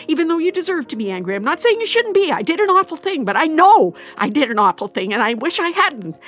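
An actress playing an anxious, regretful middle-aged mother in an audio drama, halting and justifying herself as she confesses. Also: high-pitched, a bit bright. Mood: distressed, pleading. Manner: halting, self-justifying, repeats and trails off. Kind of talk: naturalistic audio drama acting.

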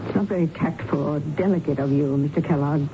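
A woman acting as a distressed widow in an old radio mystery. Her lowish voice is halting, guarded and pleading, defensive under strain. The broadcast sounds dull, with clear noise. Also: natural voice. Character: distressed, defensive bereaved wife. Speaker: radio drama actor. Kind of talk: 1970s radio drama acting.

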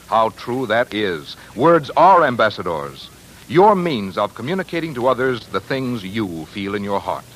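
A man's voice: mid-range voice, a bit bright, low-quality recording. An American man reading as a polished, earnest radio announcer in scripted 1940s commercial style. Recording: 1940s radio broadcast, faint noise.

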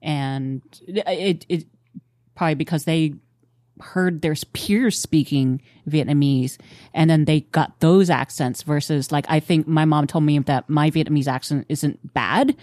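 A woman co-hosting a podcast, chatting about a film and her family in a lively, conversational way. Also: deep voice, measured pace, dry recording, clean studio sound. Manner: chatty, animated when excited. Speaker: podcast co-host. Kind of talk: casual film discussion.

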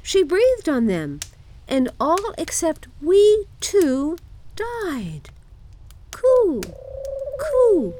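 A little storybook wood pigeon, talking in a sing-song coo. The voice is pitched far up and swoops in a lively way. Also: female voice, extremely slow, heavily acted. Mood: plaintive cooing. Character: cooing wood pigeons, small high-voiced gossiping birds.

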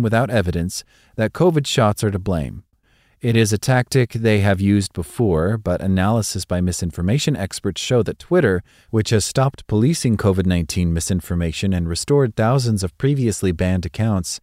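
A man narrating a written news article in a measured, formal, scripted read-aloud delivery. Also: lowish voice, darkish tone, dry recording, studio quality.

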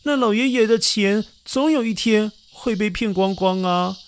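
A man narrating a children's story in a gentle, coaxing manner, speaking to young listeners. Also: high voice, moderate pace, clean tone. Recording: narration over backing music. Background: music.

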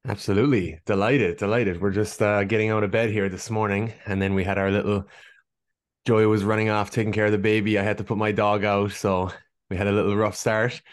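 A man's voice: on the low side, flat delivery, a little fast. A man with an Irish accent, a guest nutritionist telling stories in a friendly, easygoing way on a podcast. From Ireland. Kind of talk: casual podcast interview.

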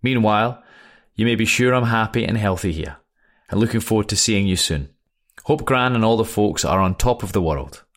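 A man's voice: on the low side, expressive, normal speed. A young man reading aloud as an earnest airman writing home to his mother, modest and a little dry. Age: young adult. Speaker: letter reader. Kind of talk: reading a wartime letter aloud.

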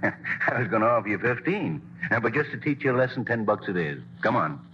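An actor playing a brusque, leering theatre manager in a 1940s radio drama, recorded on a dull old broadcast. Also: male voice, on the low side, big pitch swings, fast, noisy background. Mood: gruff. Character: gruff theatre manager Mister Waller, middle-aged man, bossy.

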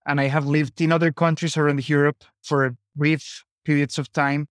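Clear-voiced man with Latin American Spanish accent. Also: fairly high pitch, little pitch movement, moderate pace, no echo, clean studio sound. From Colombia, grew up in Costa Rica. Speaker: podcast guest, company founder. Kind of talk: casual interview conversation.

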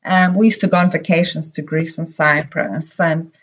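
A middle-aged woman from Zimbabwe, a podcast guest recalling her life abroad in an unhurried, meandering way with many pauses and fillers. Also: sits low, fairly even intonation. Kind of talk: casual interview conversation.

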